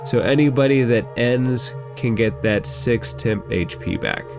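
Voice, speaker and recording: Man, game master, slow measured delivery, tabletop game narration, thinking aloud, music behind him. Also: mid-range voice, gravelly. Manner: thinks rulings through aloud. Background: background music.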